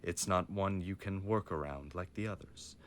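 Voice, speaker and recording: A man's voice: sits low, normal speed, fairly bright tone, slight background noise. A man playing a stern, menacing mafia boss father with a formal, commanding manner in an audio roleplay. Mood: cold, threatening. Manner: formal, commanding, coldly threatening.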